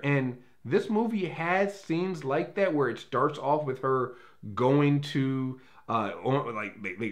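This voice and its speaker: A man speaking off the cuff as a film reviewer, opinionated and emphatic, with casual swearing. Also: medium pitch. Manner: profane. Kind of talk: casual unscripted review commentary.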